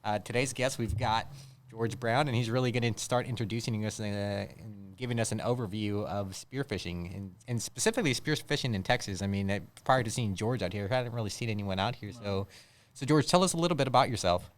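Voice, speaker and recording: An American man with a low, smooth voice hosts a casual talk show. He talks quickly and rambles, often repeating words as he goes. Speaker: show host.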